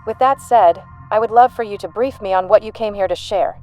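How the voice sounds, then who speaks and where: An actress as female army general, mid-pitched, shrewd, unflappable, dry authority, sci-fi audio drama, faint music behind. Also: brisk, darkish tone, close to an everyday voice. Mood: businesslike.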